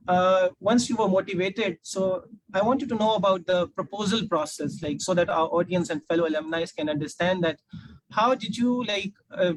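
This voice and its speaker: A man's voice: sits high, no echo, low-quality recording. A man moderating a panel discussion, putting questions and summing up answers.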